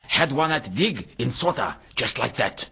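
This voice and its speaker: A man's voice: mid-range voice, animated, unhurried, vocal fry, husky, heavily acted. A man voicing an eager foreign archaeology worker in a comic video game, speaking in stilted, garbled word order with pompous long words. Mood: earnest, eager. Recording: dull, lo-fi game audio.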